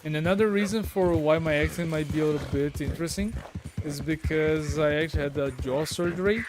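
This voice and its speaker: A man's voice: fairly high pitch, brisk. A man from Brazil chatting casually with viewers while playing a game on a livestream, rambling with many fillers. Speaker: live streamer. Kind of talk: casual gameplay livestream chat. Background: game music and horse sounds.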